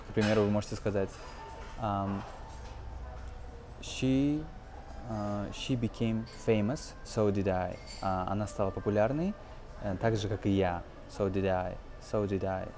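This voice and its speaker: A man in his twenties giving an informal language lesson as a teacher, explaining phrases in a halting, hesitant way. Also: fairly low pitch. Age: 20s. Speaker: English teacher.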